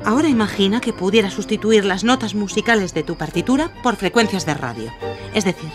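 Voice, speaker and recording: An actress playing a witty, glamorous film-star inventor in a radio drama, with playful, theatrical delivery. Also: female voice, medium pitch, animated, a little fast, over music, natural voice. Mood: excited, urgent. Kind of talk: radio drama acting and first-person narration.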